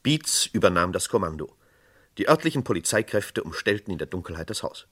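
A man narrating a vintage radio crime drama in a measured, matter-of-fact way. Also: low voice, very animated, a bit bright.

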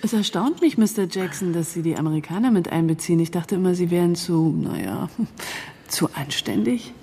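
A woman's voice: fairly low pitch, animated, normal speed, creaky, mellow. An actress playing a cool, sardonic and distrustful woman in a German radio crime drama, arch and pointedly polite. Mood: cool, disdainful.

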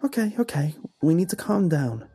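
A man voicing a concerned, calming wife and mother in a narrated story. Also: medium pitch, moderate pace, breathy edge, voice pushed up. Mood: soothing.

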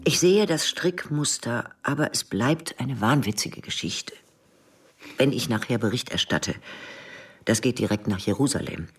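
A man playing a guarded, measured intelligence agent in a radio drama, with a breathy, often half-whispered voice. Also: mid-range voice, fairly bright tone, distant mic. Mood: guarded, intense. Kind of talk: scripted radio drama dialogue.

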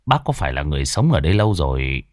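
A man's voice: rumbling low, sing-song, rapid-fire, no echo, clean studio sound, pitched below the performer's own voice. A man voicing a puzzled, polite man who stammers when caught off guard.

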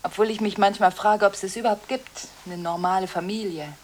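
Actress as a restless, volatile headmistress on the phone. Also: female voice, mid-range voice, steady tone, a little fast, a little mellow, a little hiss or hum, close to an everyday voice. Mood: defensive, unsettled. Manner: conversational, restless, breaking off and repeating herself. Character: volatile, contradictory school headmistress on frantic phone calls. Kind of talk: radio drama monologue of phone calls.

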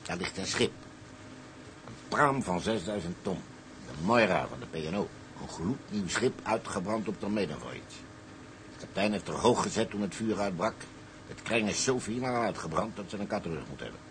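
An actor playing a boastful, wily older tugboat skipper with a salty, colloquial way of telling things. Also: male voice, sits low, lively intonation, moderate pace, fairly bright tone, a little hiss or hum. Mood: scheming, insistent. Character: wily, boastful old colonial tugboat skipper, a persuasive schemer. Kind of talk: dramatised dialogue, storytelling in character.

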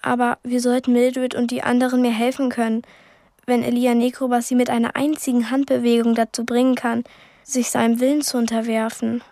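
A woman's voice: a little high, little pitch movement, brisk, dark tone, close to an everyday voice. An actress playing an earnest, urgent twelve-year-old girl in a radio play. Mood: anxious, earnest.

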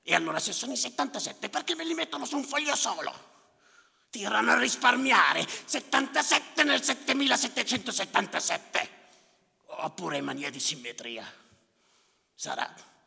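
Bitter, gravel-voiced stage grumbler. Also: male voice, very high-pitched, sing-song, moderate pace, sharp tone, full character voice. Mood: brooding, scornful. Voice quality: raspy, gravelly. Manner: muttering to himself, sardonic, declamatory, repeating words obsessively. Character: bitter, cynical, grumbling male clerk tallying the drowned. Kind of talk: theatrical stage monologue with live music. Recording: reverberant hall, music behind voice. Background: music.

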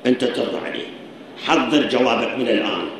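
A man's voice: mid-range voice, fast. A man with an Iraqi Arabic accent preaching a sermon in a shrine, earnestly urging the listeners with rhetorical questions, his voice tired. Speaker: cleric preaching. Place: shrine hall. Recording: very echoey hall, faint noise.

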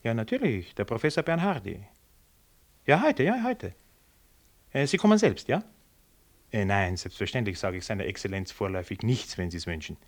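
An affable government official in a radio drama. His voice sits slightly low, and he talks with courtly politeness and dry, freethinking irony. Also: big pitch swings, normal speed, pauses often. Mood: officious, amused. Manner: courteous, wry, chuckling asides. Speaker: actor in a radio play. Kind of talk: 1960s German radio drama acting.

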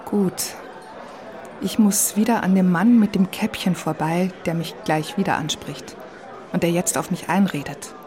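A woman's voice: fairly low pitch, a little slow, mellow. A woman reading a scripted first-person story in the present tense, as the narrator of a radio feature. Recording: music and bar crowd behind the voice. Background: music and crowd chatter.

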